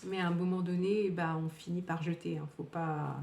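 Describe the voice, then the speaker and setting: A woman's voice: on the low side, fairly even intonation, moderate pace, gravelly, a little mellow, a little hiss or hum. Chatty woman in her fifties, echoey room. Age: 50s. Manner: chatty, rambling, many fillers and restarts. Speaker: beauty vlogger. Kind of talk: casual product review. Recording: echoey room, distant from the mic.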